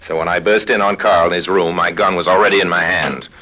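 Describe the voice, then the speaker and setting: A man playing a wry, smooth-talking American con artist who also narrates his own adventures in 1950s radio drama style. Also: sits low, fairly even intonation, quick pace, heavy background noise, lightly acted. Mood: wry storytelling. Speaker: lead actor and first-person narrator. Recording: old 1950s radio recording.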